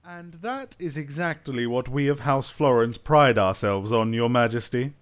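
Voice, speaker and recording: A man's voice: medium pitch, measured pace, clear, resonant tone, lightly acted. A man playing a fawning nervous lord. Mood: obsequious. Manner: obsequious, stammering. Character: fawning noble father, nervous flatterer.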